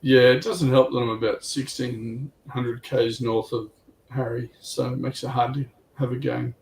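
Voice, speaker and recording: A man's voice: mid-range voice, steady tone, normal speed, creaky, a little mellow, poor audio. A man, co-hosting a casual chat about tabletop wargaming. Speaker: livestream co-host.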